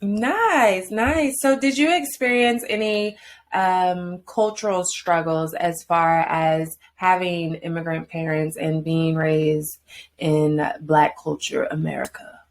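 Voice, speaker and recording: A woman hosting a casual interview show, playful and quick to laugh as she asks questions. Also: lowish voice, steady tone. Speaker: podcast host.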